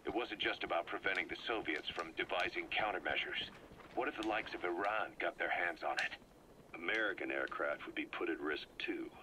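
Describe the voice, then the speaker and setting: An actor playing a gruff military commander in a video game, giving a measured, serious briefing. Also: male voice, low-pitched, background noise, natural voice. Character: gruff male military commander briefing over cassette tape. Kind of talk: scripted video game dialogue.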